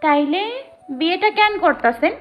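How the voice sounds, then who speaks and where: A woman's voice: extremely high pitch, animated, normal speed. A woman playing a young, outspoken village bride with a rural Bangladeshi accent in an audio drama, plaintive and reproachful. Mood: hurt, questioning. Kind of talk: Bengali audio story acting. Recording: dull lo-fi audio with music.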